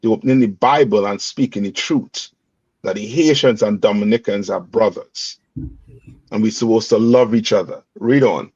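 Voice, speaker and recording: A man's voice: lowish voice, normal speed, a little mellow, slightly rough. A man leading a scripture reading, breaking in with emphatic commentary and rhetorical questions. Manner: emphatic, rhetorical questions, repeats "read on" and "you understand". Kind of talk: call-and-response scripture teaching. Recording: dull lo-fi dry sound.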